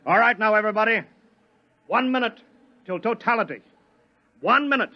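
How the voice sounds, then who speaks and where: A lead scientist , played by a man, barks urgent orders in a high voice. The old broadcast sound is dull and lo-fi. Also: moderate pace, dry recording, close to an everyday voice. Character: commanding male scientist leading an eclipse observation. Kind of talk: 1950s radio drama acting. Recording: dull lo-fi vintage radio broadcast.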